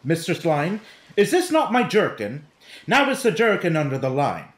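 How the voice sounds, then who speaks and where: A man acts a pompous, drunken servant lording it as king in a Shakespearean radio play. His fairly high voice swoops widely, bossing everyone about. The sound is roomy and echoing. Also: huge pitch swings, normal speed. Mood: bossy. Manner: pompous, commanding. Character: bossy drunken male servant playing at king. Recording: roomy, echoing home recording made online.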